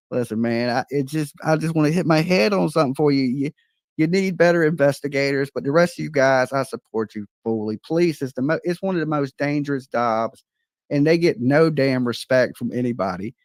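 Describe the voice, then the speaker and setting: A man's voice: on the high side, a little fast, no room sound. A man hosting a casual livestream, talking in a blunt, joking, informal way. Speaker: livestream host.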